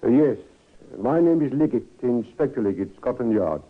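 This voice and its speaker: Male actor as formal detective inspector, mid-pitched voice, measured and probing, thin vintage radio sound. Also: expressive, close to an everyday voice. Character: formal, methodical male Scotland Yard police inspector. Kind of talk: old-time radio acting. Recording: tinny vintage radio recording.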